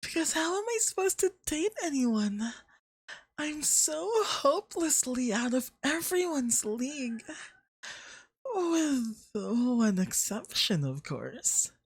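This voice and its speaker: Someone doing a smug femboy god for asmr, high and swoopy, super full of himself, flirty and possessive, breathy. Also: sing-song, dry recording, exaggerated voice. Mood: smug, boastful.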